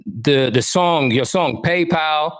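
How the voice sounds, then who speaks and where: A man's voice: a little high, slow, a bit bright. A man hosting a music podcast, opening with an upbeat, hype-style intro and now and then correcting himself. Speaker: podcast host.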